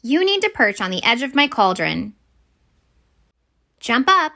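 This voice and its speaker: A woman voicing an exasperated but eager young girl witch in a children's picture book, expressive and exclaiming. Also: on the high side, measured pace, creaky, heavily acted. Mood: frustrated.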